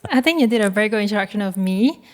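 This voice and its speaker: A woman in her twenties from Korea, a native Korean speaker, answering interview questions plainly with soft laughs. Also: mid-range voice, animated, rapid-fire. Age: 20s. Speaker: guest, university student.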